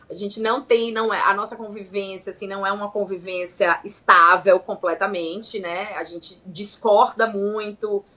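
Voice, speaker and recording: A woman from Salvador with a Bahian accent, co-hosting a podcast as a journalist and presenter in lively, chatty talk. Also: mid-range voice. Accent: Bahian Brazilian Portuguese. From Salvador, Bahia, Brazil. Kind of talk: casual chat. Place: home studio, remote recording.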